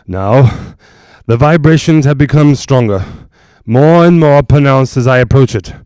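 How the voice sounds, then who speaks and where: A male actor playing an earnest doctor investigating a haunted house in a 1940s-style radio drama, reporting in a formal, measured way. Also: mid-range voice, a little mellow, clean tone, no room sound, natural voice. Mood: earnest, intent.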